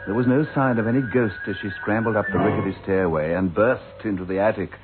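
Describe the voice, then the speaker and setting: An actor playing a grave, wry storyteller, narrating a radio ghost drama in a deliberate, theatrical way. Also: male voice, on the low side, natural voice. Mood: ominous. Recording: tinny radio recording with music underneath.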